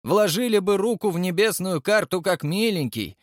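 A man's voice: fairly high pitch, expressive, normal speed, a bit bright, dry recording, clean studio sound, pitched above the performer's own voice. A man voicing an imperious old male elder who scolds and insists with exclamations. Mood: indignant scolding.

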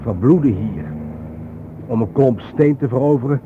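An actor playing a solemn, reflective journalist in a radio play, speaking in grave, deliberate, philosophical phrases. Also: male voice, mid-range voice, animated, close to an everyday voice. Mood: bitter. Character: solemn, reflective male journalist haunted by war dead. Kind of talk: radio drama acting. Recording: thin phone-like old radio recording, faint noise.

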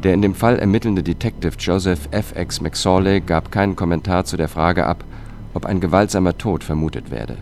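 An actor playing a weary, melancholic male investigator who narrates his own story in a measured, subdued way for a radio drama. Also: deep voice, darkish tone, a little hiss or hum. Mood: sober, factual.

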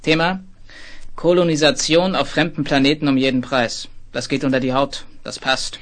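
An actor playing a shrewd, middle-aged editor-in-chief in a 1970s German radio play, curt and businesslike. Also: male voice, medium pitch, fairly even intonation, moderate pace, a bit bright, natural voice. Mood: brisk, approving. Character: brisk, shrewd magazine editor-in-chief, a commanding boss.

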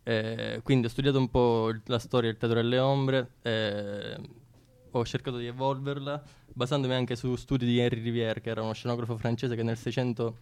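A man answers as a guest in a casual interview. His voice is smooth and clean, with a fairly flat melody as he explains things. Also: mid-range voice, fairly quick. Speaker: theatre technician and set builder, interviewed guest.

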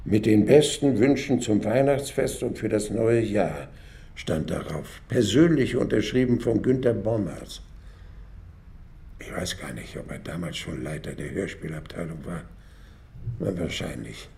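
An actor playing an old former radio writer, musing haltingly and wryly in a reflective radio play monologue. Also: male voice, on the low side, creaky, warm tone. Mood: wistful, musing. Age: older. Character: old former radio writer sorting his papers, wistful and wry.

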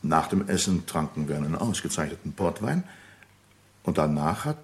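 A man playing a composed, discreet businessman giving testimony in a radio crime drama, speaking formally and deliberately. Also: extremely low pitch, expressive. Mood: hesitant, recalling. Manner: formal, deliberate, hesitant pauses.

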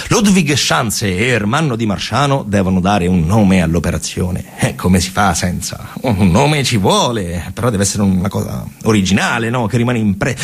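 Very husky, low-voiced male storyteller. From Italy. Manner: colloquial, short clipped phrases with repetitions. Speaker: storyteller actor. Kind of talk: dramatic theatrical narration, radio theatre monologue.

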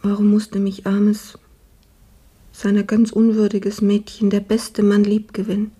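Husky, whispering sick young maidservant. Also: female voice, medium pitch, monotone, mellow. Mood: sorrowful, self-reproaching. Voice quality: husky, breathy, often falling to a whisper. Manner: slow, subdued, halting. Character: gravely ill young maidservant Marie, weary and self-effacing. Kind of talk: literary radio drama acting.

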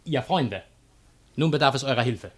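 A man's voice: medium pitch, animated, normal speed, slightly rough. A man playing a cheeky, glib slave of ancient Greece, declaiming with mockery in a German classical comedy radio play. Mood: proclaiming. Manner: declamatory, mocking.

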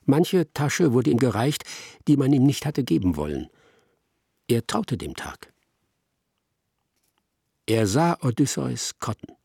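Radio play narrator, male, raspy and gravelly, animated delivery, dry recording with soft music. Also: medium pitch, slow pace, long pauses, no echo.

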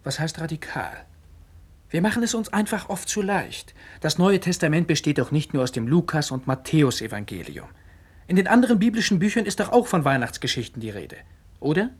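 An actor playing an earnest, critical young son with a husky voice, lecturing insistently and articulately in a radio play. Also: male voice, a little high, animated, a little fast. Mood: insistent explaining. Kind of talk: 1960s German radio play acting.

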